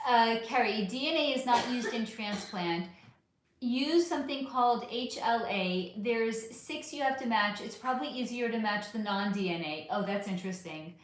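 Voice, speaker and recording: A woman's voice: fairly high pitch, moderate pace. A woman hosting a casual livestream chat, profane and affectionate, losing her voice. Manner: chatty, profane, affectionate with viewers. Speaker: livestream host. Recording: very echoey room.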